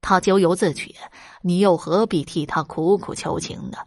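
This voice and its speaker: Cautious old Taoist priest, deep-ish and rough, unhurried, told by a woman. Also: a little mellow, no echo, clean studio sound. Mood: reluctant.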